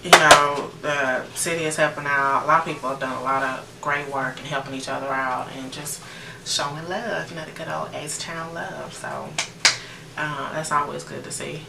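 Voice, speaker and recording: Low-voiced American woman, roomy distant recording. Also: fairly even intonation, normal speed, vocal fry. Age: late 30s. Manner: chatty, informal, addressing viewers directly. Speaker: beauty vlogger. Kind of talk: casual chatty makeup tutorial. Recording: roomy, distant mic with noticeable background noise.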